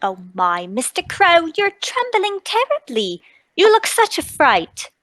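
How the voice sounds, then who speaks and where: A woman acting a kindly, alarmed lady, swooping pitch. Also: wildly swooping pitch, unhurried, no room sound, exaggerated voice. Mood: concerned. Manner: gentle, fussing, dramatic. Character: kindly, concerned young lady friend, easily alarmed. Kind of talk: dramatic radio-play table read.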